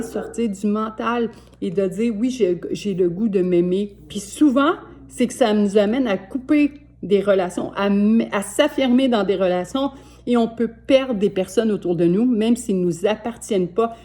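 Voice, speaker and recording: A woman in her sixties with a Québécois French accent, giving a gentle, earnest self-help talk to camera from home as a life coach. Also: on the high side. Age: 60s.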